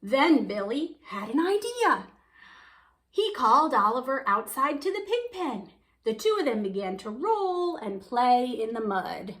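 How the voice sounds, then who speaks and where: A woman's voice: sits high, very animated, a little slow, fairly bright tone. A woman reading a picture book aloud, telling the story expressively and stretching words for emphasis. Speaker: children's storybook reader.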